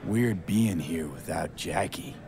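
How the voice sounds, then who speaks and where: A guarded young street mercenary in a video game, with a low voice and a mournful edge. Faint rumbling noise sits behind him. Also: male voice, slow pace, creaky, a little mellow, exaggerated voice. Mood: subdued, mournful. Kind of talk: naturalistic video game acting.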